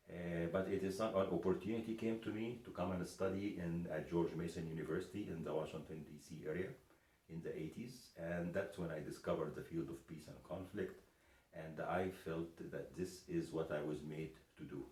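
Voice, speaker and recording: A man's voice: low-pitched, darkish tone. A man in his sixties from Egypt, interviewing a student and then telling about himself, with many fillers and restarts. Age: 60s. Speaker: interviewer and professor. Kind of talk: casual interview. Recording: echoey room, voice from far off.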